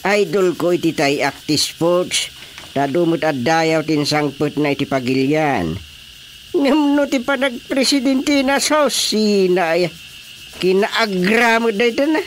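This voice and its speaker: A woman acts as an opinionated Filipino grumbler in a radio drama. The speech is slow and emphatic, with musing hums and big swings in pitch. Light ambient noise sits behind. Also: lively intonation. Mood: indignant. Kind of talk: Ilocano radio drama dialogue.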